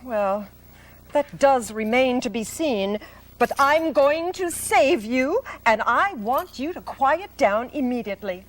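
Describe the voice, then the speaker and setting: A woman's voice: high-pitched, expressive, takes it slowly. A woman doing a proud, clever spider. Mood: firm. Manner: crisp, matter-of-fact, slightly grand. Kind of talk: storybook read-aloud.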